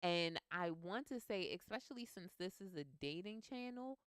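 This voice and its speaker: A woman co-hosting a relaxed couple's chat show, outspoken and playful with lively slang. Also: on the low side, normal speed, clean tone, dry recording. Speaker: co-host, business teacher.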